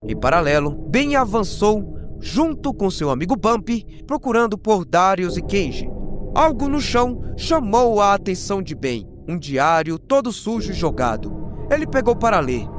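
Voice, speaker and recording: High-pitched animated Brazilian male storyteller. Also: moderate pace, noisy background. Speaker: narrator of a horror recap reaction video. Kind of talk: dramatic storytelling with jokey slang asides. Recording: voice over prominent background music. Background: music.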